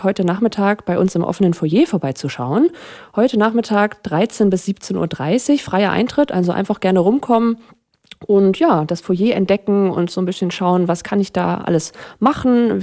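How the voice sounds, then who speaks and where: A woman's voice: mid-range voice, mellow. A woman presenting a theatre's programme in an informal, enthusiastic, conversational way. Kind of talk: informal spoken programme announcements.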